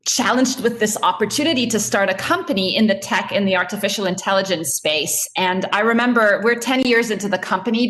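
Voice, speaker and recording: A woman's voice: medium pitch, fairly bright tone. A woman from Egypt, a tech entrepreneur answering panel questions over a video call in an earnest, explanatory way.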